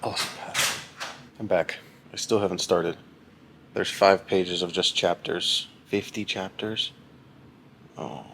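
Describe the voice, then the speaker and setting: A young man with a very low voice, chatting casually and deadpan to a camera at home, rambling and poking fun at himself. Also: slow pace, creaky, pauses often, a little hiss or hum. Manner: deadpan, rambling and self-mocking. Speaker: husband reading a book on camera. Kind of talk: casual vlog chat.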